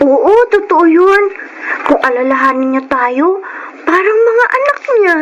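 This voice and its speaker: Sweet young pupil: Filipino, female, very high voice, radio drama acting, slightly muffled sound. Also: expressive, a little slow, background noise. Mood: eager, fond. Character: sweet, grateful young student.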